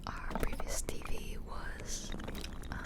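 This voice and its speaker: Asmr lady whispering up close, breathy and gentle, just rambling casually. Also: takes it slowly. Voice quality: soft, breathy unvoiced whisper. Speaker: ASMR video creator. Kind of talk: ASMR whispered casual chat over gameplay. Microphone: close ear-to-ear microphone.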